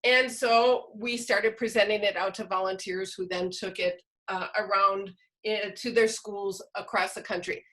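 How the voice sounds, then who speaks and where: A woman's voice: medium pitch, steady tone, measured pace, hardly any pauses, a bit bright, low-quality recording. A woman presenting an online workshop in an explanatory way, posing questions to her listeners as a teacher trainer would over a video call.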